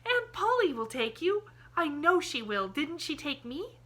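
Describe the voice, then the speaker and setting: A woman's voice: high voice, expressive, normal speed, pushed and bright, voice pushed up, full character voice. A woman reading an audiobook, voicing an eager, endlessly cheerful young girl who chatters breathlessly in quaint old-fashioned phrasing. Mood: excited. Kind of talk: audiobook character voice.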